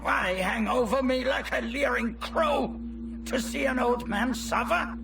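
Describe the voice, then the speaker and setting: An actor playing a bitter, sneering old slave trader in a video game cutscene, theatrical and contemptuous. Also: male voice, very high-pitched, measured pace, gravelly, distant mic, over music, heavily acted. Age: older.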